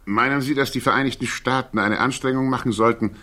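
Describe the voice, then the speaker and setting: A man's voice: mid-range voice, steady tone, quick pace, pushed and bright, lightly acted. A male actor in a 1960s German radio drama playing a challenging attorney who puts formal, pointed questions.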